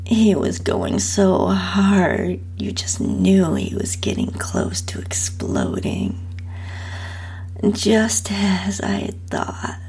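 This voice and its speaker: A woman narrating an intimate first-person adult story in a breathy, half-whispered delivery. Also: medium pitch, a little mellow. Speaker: host and narrator reading own story.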